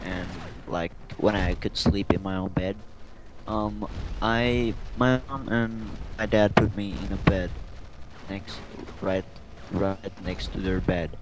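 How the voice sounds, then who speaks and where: A young man telling stories in a casual, halting, giggly way with lots of informal slang. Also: sits low, steady tone. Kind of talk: casual chat, storytelling. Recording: very noisy amateur mic, clicks. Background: loud game gunfire.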